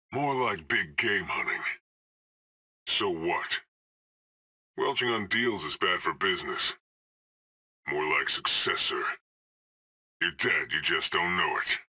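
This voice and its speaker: A man's voice: low voice, sharp tone, heavily acted. An actor playing a cocky, cool male hired assassin in a video game, trading dry, sardonic one-liners.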